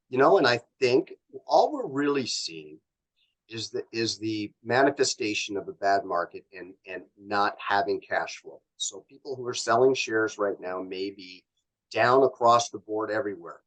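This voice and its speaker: Male, middle-aged, North American accent, lowish voice. Executive interviewee, conversational and rambling, explains as he goes. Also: lively intonation, moderate pace, rough recording. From born in Canada, grew up in Los Angeles. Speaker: company executive guest. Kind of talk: casual interview chat.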